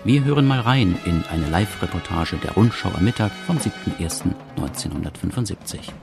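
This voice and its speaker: A man with a very low, gravelly voice tells a radio history in a measured, matter-of-fact way. Music plays clearly beneath him. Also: animated. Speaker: radio documentary narrator. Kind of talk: scripted narration. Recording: music playing behind.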